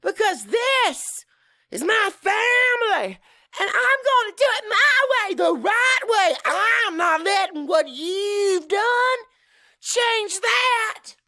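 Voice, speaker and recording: Man voicing an anguished, high-pitched defiant wife. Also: big pitch swings, takes it slowly, heavily acted. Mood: defiant, tearful. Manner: tearful, forceful, raised voice. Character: anguished, defiant wife standing up to her husband. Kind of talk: dramatic audiobook character voice.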